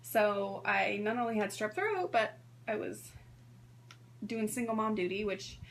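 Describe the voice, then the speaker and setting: A woman in her thirties hosting a sewing livestream in a hoarse voice, chatting casually and greeting viewers by name. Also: medium pitch, animated, unhurried, slight background noise. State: hoarse from strep throat.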